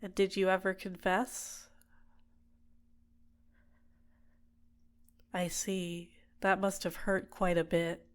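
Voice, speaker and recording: A woman playing a tenderly possessive, manipulative villain captor in an intimate ASMR roleplay, speaking in a soft, soothing, deliberate way. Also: mid-range voice, level tone, smooth, close to an everyday voice. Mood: sympathetic, insinuating.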